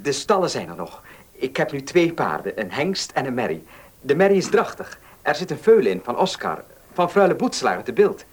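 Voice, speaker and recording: An actor playing a headstrong, impatient young heir in a radio drama, speaking emphatically and stubbornly. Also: male voice, medium pitch, sing-song, fairly quick, husky. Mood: eager. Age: young adult. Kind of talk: Dutch radio drama acting.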